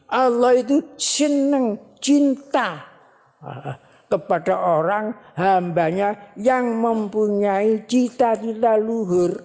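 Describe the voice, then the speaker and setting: Slow-preaching woman in a reverberant hall. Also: mid-range voice, darkish tone. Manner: slow, repetitive, emphatic preaching. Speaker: religious teacher. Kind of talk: sermon at a ceremony.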